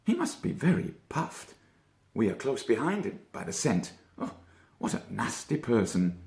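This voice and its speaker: A man's voice: mid-range voice, big pitch swings, measured pace, vocal fry, a little mellow, roomy sound, voice pushed up. A man playing a level-headed, slightly pompous young rabbit in a children's storybook, wordy and reflective. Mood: knowing. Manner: reflective, wordy, self-important.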